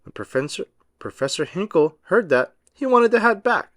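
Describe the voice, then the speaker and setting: A man reads a children's story with very animated, swooping intonation. His pitch sits a little high and his storytelling is expressive and emphatic. The sound is dry and close. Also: moderate pace, dry recording. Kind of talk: scripted children's storybook read-aloud.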